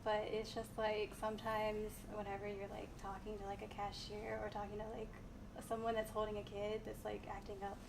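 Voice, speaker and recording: A woman's voice: medium pitch, completely flat delivery, brisk, gravelly. Chatty young woman, noisy recording. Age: young adult. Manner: chatty, many "like" fillers. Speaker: interviewee, daughter. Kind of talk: casual interview. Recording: noisy ambience.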